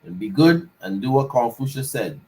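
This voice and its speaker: A man hosting a casual talk show, relaxed and chiming in with short affirmations. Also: mid-range voice, normal speed, poor audio. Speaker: host. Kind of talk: casual chat.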